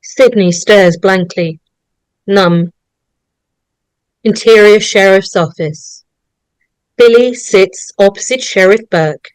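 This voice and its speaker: A woman reading scripted stage directions as narrator, deliberate and storytelling. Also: mid-range voice, clean tone, no room sound, poor audio.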